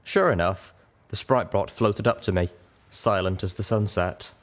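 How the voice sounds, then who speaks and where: A man's voice: lowish voice, a little slow. A man reading a story aloud in dramatic first-person narration. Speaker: reader of fan fiction.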